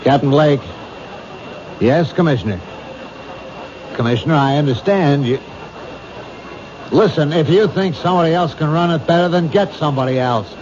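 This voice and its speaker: An actor playing a world-weary middle-aged police captain who talks in a brisk, matter-of-fact way, in a 1970s radio drama. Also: male voice, fairly high pitch, lightly acted. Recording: dull noisy old radio broadcast.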